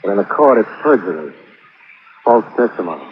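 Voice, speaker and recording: Casting: shrewd, no-nonsense detective type, lowish pitch, vintage radio crime serial, narrow hissy sound. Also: male voice, very animated, a little fast. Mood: firm, persuasive. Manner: firm, plainspoken, hardboiled. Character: tough, plainspoken, shrewd male insurance investigator. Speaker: lead investigator and narrator. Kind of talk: 1950s hardboiled radio drama acting. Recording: noisy old radio recording, narrow band.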